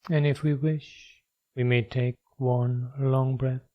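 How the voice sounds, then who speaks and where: A man leading a guided meditation as a teacher, speaking in a measured, instructional way with long pauses. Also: medium pitch, level tone, lo-fi sound.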